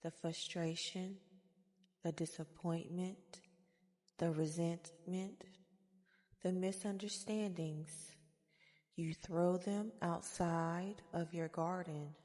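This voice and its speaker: A woman guiding a meditation in a soft-spoken ASMR style, with soothing, gentle, deliberate phrasing. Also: on the low side, steady tone. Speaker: guided meditation host. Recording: long reverberant echo.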